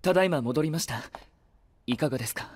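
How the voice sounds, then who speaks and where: A man's voice: on the high side, lively intonation, fast, background noise, lightly acted. An actor playing a courteous, earnest male knight in an anime-style voice drama, formal and polite in his speech. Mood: polite, curious.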